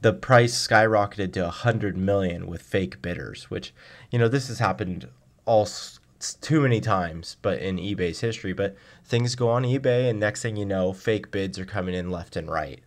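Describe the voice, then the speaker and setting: A man's voice: on the low side, moderate pace. A man giving conversational answers as an expert guest in a radio interview. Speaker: guest, car blog writer.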